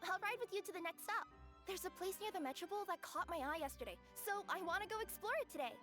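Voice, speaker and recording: A woman voicing a friendly, playful young cat-spirit delivery girl in an anime video game, chatty, excitable and a little flustered. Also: very high voice, fast talker, over music. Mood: cheerful, reassuring.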